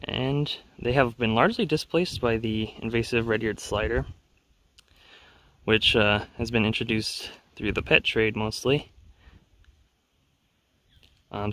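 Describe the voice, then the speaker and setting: A man giving casual, low-key narration as a wildlife vlogger filming outdoors from behind the camera. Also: unhurried, long pauses, fairly bright tone, dry recording. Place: outdoors in woods and grassland. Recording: outdoor ambient noise.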